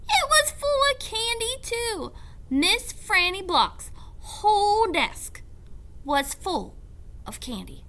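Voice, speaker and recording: A woman reading a storybook aloud as a teacher, with deliberate, animated and expressive narration. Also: extremely high pitch.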